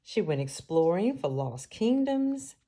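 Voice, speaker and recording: Low-pitched woman reading a story slowly. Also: sing-song, dark tone. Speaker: storybook reader. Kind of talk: read-aloud children's story narration.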